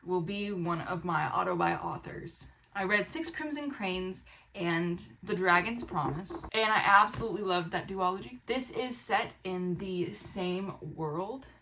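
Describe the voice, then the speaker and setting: A young American woman chatting casually to camera as a book vlogger, in an expressive, rambling way, often interrupting herself. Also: on the low side, a little slow, rough recording. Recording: clear background room noise.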